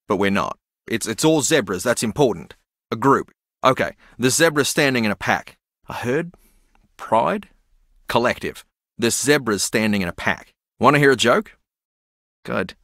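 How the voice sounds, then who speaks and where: Game role: earnest, spacey jock, low register, swooping inflection, halting and guileless, very dry sound. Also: male voice, no room sound. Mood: awkward, earnest, then jokey. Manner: deadpan, guileless, halting, rambling. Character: earnest, spacey male rugby-champion reality show contestant. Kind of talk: video game character dialogue.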